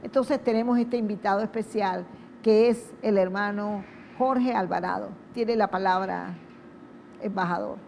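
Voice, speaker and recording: Venezuelan woman hosting, slow solemn intro, kinda emphatic, bit of noise behind. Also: medium pitch, creaky, a little mellow. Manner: solemn, emphatic, repetitive phrasing. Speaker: TV program host. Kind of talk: speech-like program introduction. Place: outdoors at a public event.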